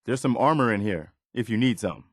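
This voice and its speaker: A man's voice: medium pitch, lively intonation, brisk, dry recording, studio quality, lightly acted. An actor playing an earnest, dutiful militiaman in a post-apocalyptic video game, sincere, reassuring and plain-spoken. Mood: casual, practical. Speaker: video game companion character. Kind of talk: scripted game dialogue lines.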